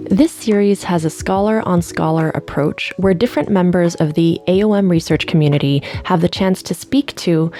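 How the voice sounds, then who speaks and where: A woman hosting a live interview show, reading the intro in a clear, scripted way. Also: lowish voice, dark tone. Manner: clear, read-aloud delivery. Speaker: host, lecturer.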